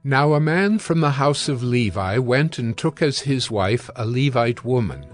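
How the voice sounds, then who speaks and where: A man narrates scripture in a scripted audiobook style. His voice is medium in pitch, with soft music underneath. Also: expressive, measured pace.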